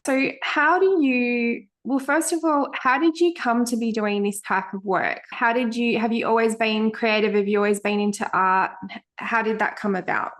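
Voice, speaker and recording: A woman's voice: fairly high pitch, fairly even intonation, moderate pace, a bit bright. Effusive woman podcast host. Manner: gushing, effusive compliments. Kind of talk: warm interview hosting.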